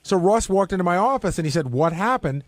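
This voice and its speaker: A man's voice: high-pitched, lively intonation, fast talker, no room sound. A man in a casual panel discussion, telling stories conversationally and quoting exchanges. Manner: conversational storytelling, acting out quoted exchanges. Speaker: radio show staff member.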